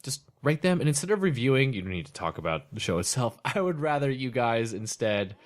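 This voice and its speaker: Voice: medium pitch, sing-song, fairly quick. A podcast host chatting casually in a rambling, jokey way, often self-correcting. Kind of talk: casual chat.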